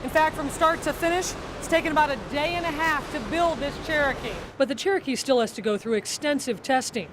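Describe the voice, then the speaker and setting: A woman's voice: high voice, a little fast, background noise. A middle-aged woman, a TV news reporter, delivering scripted news narration.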